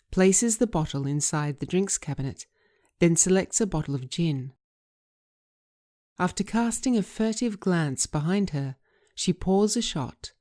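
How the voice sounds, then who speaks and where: A woman narrates a screenplay, reading out scene headings and directions. Her voice is fairly low, and her delivery is measured and deliberate. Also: dark tone. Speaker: writer reading own script.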